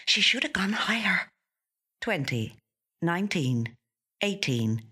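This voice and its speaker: A woman's voice: on the low side, sing-song, slow, pushed and bright, husky, heavily acted. A woman actor voicing a frail, obsessive wife with a fretful, inward, muttering manner in a short story. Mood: tense, urgent.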